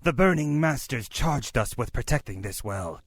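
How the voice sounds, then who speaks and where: An actor playing a hostile guardian servant of demons in a fantasy game, with a husky gravelly voice. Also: male voice, mid-range voice, animated, normal speed, no room sound. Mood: scornful. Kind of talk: fantasy video game acting.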